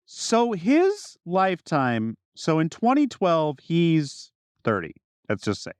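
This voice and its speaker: Highish-voiced man, very lively pitch swings. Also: slow, smooth, no echo. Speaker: podcast co-host. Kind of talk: casual banter and discussion.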